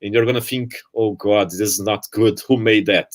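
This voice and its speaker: A man, ending his conference talk and answering questions in a relaxed, hesitant way with frequent "uh"s over a video call. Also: fairly low pitch, normal speed. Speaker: conference speaker. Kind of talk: presentation and Q&A answers.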